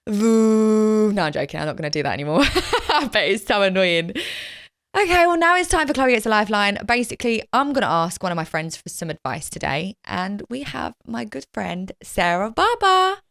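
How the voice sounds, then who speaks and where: A young woman hosting a podcast, talking in a chatty, animated, self-deprecating way. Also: medium pitch, normal speed, gravelly, dry recording, clean studio sound. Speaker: podcast host. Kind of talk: casual chat.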